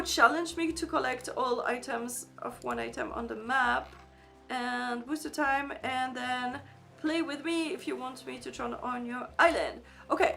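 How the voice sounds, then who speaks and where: Chatty high-voiced streamer woman, non-native English. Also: creaky, bright, forceful tone. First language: not English. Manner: chatty, reads chat aloud, frequent fillers and restarts. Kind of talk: casual live-stream chat. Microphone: desk microphone.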